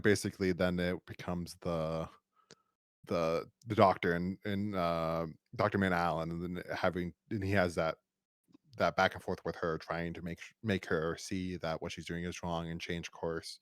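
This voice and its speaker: A man with a fairly low voice talks casually as a podcast host reviewing a story. He rambles and stumbles, doubling back over his words. The sound is close and dry. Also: steady tone, moderate pace, clean tone, dry recording.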